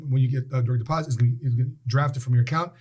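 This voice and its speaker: A man in his late forties, a guest in a casual interview, telling stories with animated emphasis and repeated phrases. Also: medium pitch, racing, breathy edge. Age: 40s.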